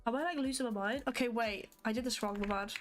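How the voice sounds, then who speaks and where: A woman with a somewhat high voice talks casually to her viewers while she codes. English is not her first language, and she rambles with lots of fillers and thinks aloud. Soft music plays faintly behind her. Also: fast talker. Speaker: livestreamer coding a graphics engine. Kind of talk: casual live-coding chat with viewers.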